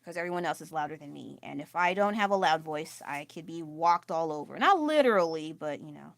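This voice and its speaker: A woman chatting casually while painting on a live stream at home, self-deprecating and quick to laugh, with a loud voice. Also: low-pitched, animated, creaky, dry recording. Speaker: artist livestreaming her painting. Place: at home with family nearby.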